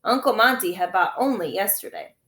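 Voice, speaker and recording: A woman's voice: medium pitch, expressive, fairly quick, fairly bright tone. Woman reading a story aloud, echoey room. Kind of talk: expressive storybook narration.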